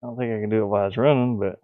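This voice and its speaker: A man thinking aloud in a halting mutter as he gives casual commentary on his hobby game development. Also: lowish voice, dry recording. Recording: dull lo-fi audio, faint music. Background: faint music.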